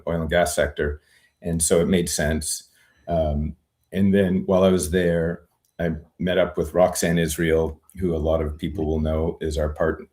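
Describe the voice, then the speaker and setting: A man with a very low voice speaks in a relaxed interview, thinking aloud as he goes. He circles back, restarts sentences and fills pauses with "um" before landing his point. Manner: reflective, many fillers and restarts. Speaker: guest, retired immigration lawyer. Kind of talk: conversational interview.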